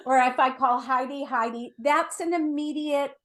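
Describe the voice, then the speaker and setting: A woman's voice: sits high, normal speed, fairly bright tone. A middle-aged woman giving conversational spiritual teaching as an interview guest, earnest and emphatic, explaining with everyday examples.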